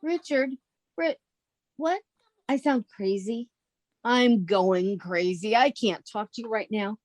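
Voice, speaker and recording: A woman's voice: medium pitch, takes it slowly, darkish tone, no echo, close to an everyday voice. A woman acting in an old-time radio comedy as a sensible, theatrically exasperated young working woman with a wry manner. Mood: flustered, frantic.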